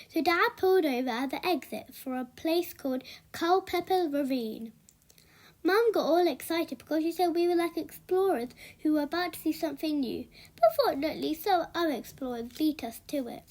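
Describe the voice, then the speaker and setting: A woman reading a children's book aloud as an expressive storyteller. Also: high-pitched.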